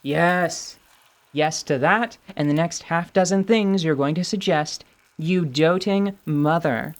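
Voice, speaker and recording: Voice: mid-range voice, slow. Someone acting a wry, blunt fantasy adventurer. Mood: wry, determined. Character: Retribution, blunt, idealistic, sardonic adventurer. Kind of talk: radio-theatre fantasy role-play acting.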